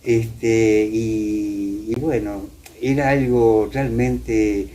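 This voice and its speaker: An older man with an Argentine accent from Entre Ríos, reminiscing as a guest and often pausing to find his words. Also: fairly low pitch, fairly even intonation, warm tone. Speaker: interview guest. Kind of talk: reminiscing in conversation. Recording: clear background noise.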